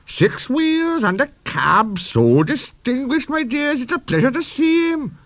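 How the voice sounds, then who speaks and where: A man's voice: very high voice, sing-song, measured pace, a bit bright, dry recording, pitched above the performer's own voice, exaggerated voice. A man voicing gushing, tittering female railway coaches in a storybook, with a simpering manner. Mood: fawning. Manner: simpering, fawning.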